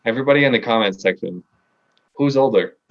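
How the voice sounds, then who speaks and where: A man's voice: medium pitch, fast talker, dull audio. A nineteen-year-old man with an American accent, telling a story casually as a podcast guest and playfully arguing his point. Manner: playfully argumentative. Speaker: podcast guest, music artist.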